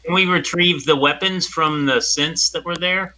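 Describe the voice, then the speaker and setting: A man's voice: fairly high pitch, normal speed, bright, forceful tone, slight background noise, low-quality recording. A man playing a tabletop role-playing game, talking casually with the group. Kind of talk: casual chat.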